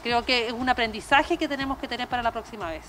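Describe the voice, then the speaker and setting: A woman's voice: on the high side, fast talker, a bit bright. A middle-aged woman with a Chilean accent, earnest and emphatic, answering a news interview as a small business owner through a face mask. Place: open-sided restaurant terrace by a street. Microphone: handheld reporter microphone. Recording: outdoor street noise with traffic.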